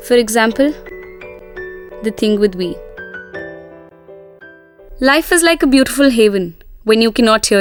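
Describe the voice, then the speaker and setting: An actress plays a young woman with a high voice, frank and witty. She speaks scripted drama lines and first-person narration in a wry, reflective way. Faint music sits behind her. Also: close to an everyday voice.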